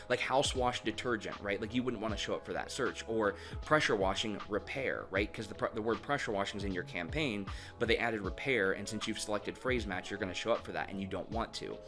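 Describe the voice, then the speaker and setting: A man's voice: lowish voice, a bit bright. A man running a marketing agency, giving direct advice to viewers in a casual, conversational explainer full of "you know" and "um".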